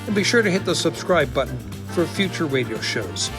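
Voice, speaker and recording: A man's voice: fairly high pitch, sing-song, fairly quick. An American man reading scripted credits as the announcer of a radio drama. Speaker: writer and announcer. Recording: loud music under voice. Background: music.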